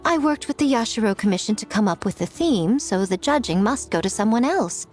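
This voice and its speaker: An actress playing a poised, sly festival organizer in an anime game, speaking with playful, leisurely teasing. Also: female voice, mid-range voice, animated, a little fast, darkish tone, soft background music, natural voice.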